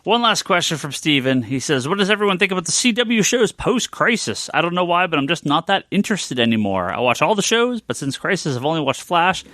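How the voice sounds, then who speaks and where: A man with a fairly high, bright voice hosts a casual podcast. His pitch swings widely as he banters, and he repeats phrases for emphasis. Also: very animated, fairly quick, hardly any pauses, no room sound. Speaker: podcast host reading listener questions. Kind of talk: casual, bantering panel chat.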